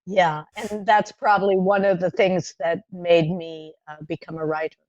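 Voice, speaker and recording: A middle-aged woman whose first language is French, recalling her childhood in a podcast interview, talking in a thoughtful, halting way with many fillers and restarts. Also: fairly low pitch, steady tone, a little mellow, dry recording, dull audio. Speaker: playwright guest.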